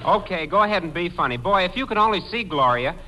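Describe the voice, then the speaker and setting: A man's voice: sits high, expressive, fast, dull audio. A man playing a brash, lovestruck teenage nephew in old radio comedy, boyish and earnest. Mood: eager, wheedling. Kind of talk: 1940s radio comedy acting. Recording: slightly noisy vintage radio broadcast.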